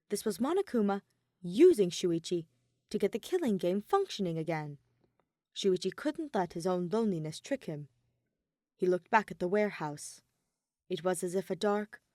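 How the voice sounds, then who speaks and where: A woman's voice: medium pitch, mellow, no echo. Dry-recorded woman narrating dramatic fiction.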